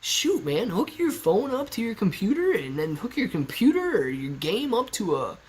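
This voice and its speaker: A man in his early thirties with a somewhat high voice, hosting a relaxed live stream from his living room. He reads the chat aloud in a laid-back, chatty way and laughs easily. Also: normal speed, a little hiss or hum. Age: 30s. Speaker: stock livestream host. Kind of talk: casual live-stream chat reading viewer comments. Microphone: laptop on a kitchen stool at eye level.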